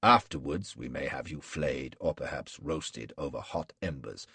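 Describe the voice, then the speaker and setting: A man doing a cold, cruel warlord in a storybook reading. The voice is very deep and gravelly, husky at the edges, and it unspools its threats slowly and gloatingly. The sound is dry and close. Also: no room sound, full character voice. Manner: slow, gloating, measured threats. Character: menacing cruel male warlord, cold and mocking. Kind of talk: audiobook character reading.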